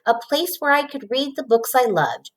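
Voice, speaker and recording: Mujer narradora de cuentos, muy expresiva. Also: female voice, sits high, very animated, normal speed, a little hiss or hum, poor audio. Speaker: storybook reader. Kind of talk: expressive children's read-aloud narration.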